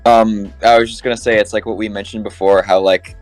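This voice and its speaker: A man's voice: fairly low pitch, level tone, a little fast, soft background music, poor audio. A man on a podcast panel in a casual fan discussion, peppering his talk with "like". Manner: filler-heavy, heavy use of "like".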